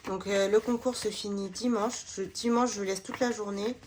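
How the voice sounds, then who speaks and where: A woman's voice: medium pitch, fairly even intonation, normal speed, darkish tone, slight background noise. Chatty woman with a slight cold. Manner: chatty, hesitant, many fillers. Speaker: budgeting vlogger. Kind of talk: casual chat while filling budget envelopes.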